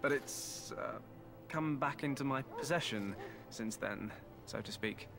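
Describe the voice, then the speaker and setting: A man's voice: mid-range voice, a little slow, gravelly, music in the background. An actor playing a starving, sly prisoner in a fantasy game, wry and wheedling as he bargains. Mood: sly bargaining.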